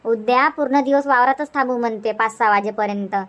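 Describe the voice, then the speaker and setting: Chatty village mother for cartoon comedy, female performer: high voice, Varhadi Marathi accent, bossy but easygoing, rambling delivery. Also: fairly quick, close to an everyday voice. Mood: explaining. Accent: Varhadi Marathi (Vidarbha). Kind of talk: homemade cartoon comedy dub.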